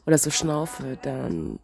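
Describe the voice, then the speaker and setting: Slow, gravelly woman with a cold. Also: a bass voice, darkish tone. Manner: slow, searching, reflective musing. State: has a cold, stuffy and wheezy. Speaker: vlogger. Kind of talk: casual spiritual vlog monologue.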